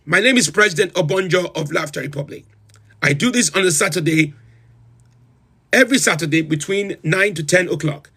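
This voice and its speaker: A man's voice: on the high side, a little fast, sharp tone, slightly rough, exaggerated voice. A man playing a pompous self-styled president of a comic republic, who hosts a livestream and reels off long lists in theatrical disbelief. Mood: bemused. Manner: incredulous, theatrical, lists items with rising emphasis. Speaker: livestream host. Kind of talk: comedic in-character livestream rant.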